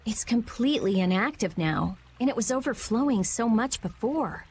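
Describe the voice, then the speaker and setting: A woman's voice: fairly high pitch, lively intonation, normal speed, darkish tone, slight background noise. An actress playing a brainy, brusque teenage girl researcher in a video game. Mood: pondering. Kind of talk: animated video game acting.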